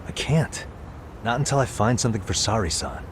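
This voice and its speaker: A man's voice: lowish voice, very animated, brisk, noisy background. A man voicing an easygoing, wry lawyer turned investigator in a video game, with casual phrasing. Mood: casual, persuasive.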